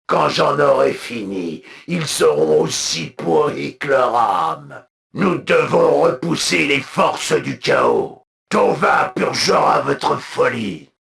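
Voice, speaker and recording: An actor voicing a proud, zealous alien military commander for a video game, with a gravelly voice and solemn, imperious, clipped delivery. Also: male voice, medium pitch, animated, takes it slowly, fairly bright tone, exaggerated voice. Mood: stern, contemptuous. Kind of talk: video game unit voice lines, declamatory.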